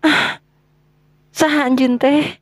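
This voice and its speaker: High, slow, plaintive young maiden played by a woman. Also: fairly even intonation, no echo. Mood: puzzled. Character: gentle, anxious young maiden searching for her companion. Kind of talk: Sundanese folktale character acting.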